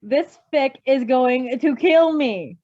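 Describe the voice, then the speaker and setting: A woman in animated, casual chat as a co-reader reacting to a story. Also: sits high, slow, highs cut off.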